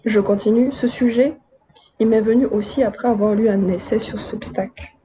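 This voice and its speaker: A woman's voice: on the high side, fairly even intonation, normal speed, dark tone, a little hiss or hum, low-quality recording. A woman raised in Côte d'Ivoire and France, giving casual spoken commentary to her viewers with chatty, wry, slangy asides. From Madagascar, raised in Côte d'Ivoire and France. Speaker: video essayist.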